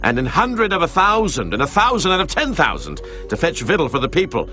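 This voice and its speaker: Bright, forceful Israelite rallier. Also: male voice, on the high side, wildly swooping pitch, fairly quick, faint music behind, heavily acted. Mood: resolute outrage. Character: resolute, outraged Israelite man rallying his people. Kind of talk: dramatized scripture acting.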